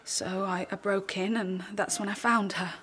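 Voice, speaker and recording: A woman's voice: mid-range voice, steady tone, moderate pace, background noise, natural voice. A woman acting in a radio drama as a sceptical, protective friend with a dry, pointed way of talking. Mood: subdued. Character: sceptical, protective, blunt-spoken female friend.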